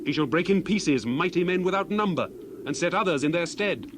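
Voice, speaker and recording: A man's voice: a little high, expressive, fairly quick, noisy background, natural voice. A man playing an earnest, indignant biblical orator, reciting King James scripture in a solemn, declamatory, emphatic manner for a dramatized reading. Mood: grave.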